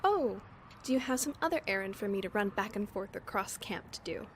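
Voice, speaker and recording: A woman's voice: mid-range voice, expressive, a little fast, a little hiss or hum, close to an everyday voice. Woman playing a wry, frustrated young assistant. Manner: sardonic, sighing, conversational. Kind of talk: naturalistic audio drama acting.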